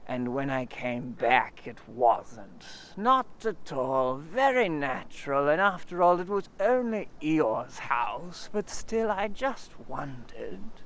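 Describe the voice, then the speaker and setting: A man's voice: a little high, big pitch swings, fairly bright tone, a little hiss or hum, full character voice. Casting: man voicing a mournful old donkey for a storybook reading, dragging, melancholy delivery. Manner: slow, sighing, melancholy drawl. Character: gloomy old male donkey, slow and self-pitying.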